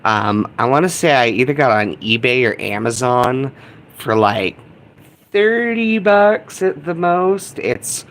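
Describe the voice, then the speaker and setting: A man's voice: mid-range voice, expressive, slow pace. A man giving casual, slangy live commentary, musing aloud as he scans a shortwave radio. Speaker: livestream host, radio hobbyist. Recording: loud background noise, shortwave radio static. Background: shortwave radio noises.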